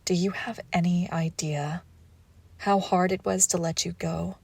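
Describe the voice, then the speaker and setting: A woman acts out a menacing female crime boss with a wounded lover's heart, in an intimate audio roleplay. She speaks slowly and deliberately, with a creaky edge and breathy drops into whispers. The sound is close and dry, and she stays seductive and commanding. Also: fairly low pitch, fairly even intonation, darkish tone, no echo, exaggerated voice. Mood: wounded, longing.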